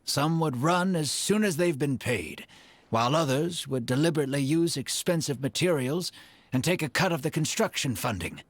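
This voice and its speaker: An actor in a video game playing an earnest, proud man of the desert, speaking in formal, measured phrases. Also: fairly high pitch. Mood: guarded, explanatory. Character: earnest, proud desert-dwelling man, elderly or ailing, apologetic.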